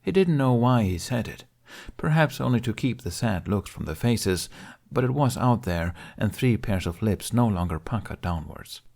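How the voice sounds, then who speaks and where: Low, gravelly male audiobook narrator. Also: expressive, normal speed, a little mellow. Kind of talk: scripted story narration.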